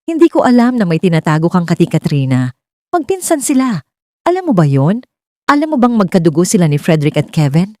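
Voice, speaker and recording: A woman's voice: fairly low pitch, sing-song, fairly quick, darkish tone. A woman voicing an angry, bitter older mother-in-law who speaks with scornful accusation and hurt in a dramatic story reading. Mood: scornful, accusing.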